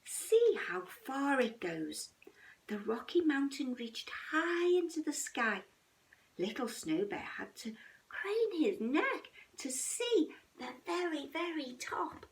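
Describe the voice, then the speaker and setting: A woman reading a children's bedtime story expressively, dramatic and at times hushed. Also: high-pitched, sing-song, slow pace, recorded from a distance, rough recording.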